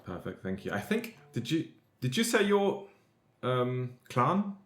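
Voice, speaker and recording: A man's voice: mid-range voice, huge pitch swings, measured pace, roomy sound. A man hosting a podcast in casual, conversational talk. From Europe. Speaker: podcast host.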